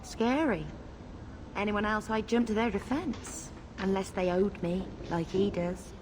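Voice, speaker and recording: Actress as a sardonic, mid-pitched underworld dealer woman. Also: measured pace, natural voice. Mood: cool, sardonic. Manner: clipped, dry, businesslike. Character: cool, sardonic female underworld dealer, unruffled. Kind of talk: fantasy video game voice acting. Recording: clear background ambience noise.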